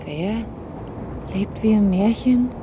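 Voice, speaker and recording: An actress plays a brooding young woman, a prickly daughter telling her own story in a radio drama. Her medium-pitched voice is terse and dry, with clear noise in the background. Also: takes it slowly, warm tone, close to an everyday voice, rough recording. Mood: wry. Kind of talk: first-person radio drama narration and acting.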